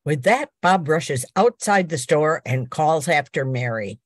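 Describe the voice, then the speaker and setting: A woman's voice: deep voice, animated, a little slow, dry recording, clean studio sound. An older woman reading scripted narration as the announcer of a radio drama.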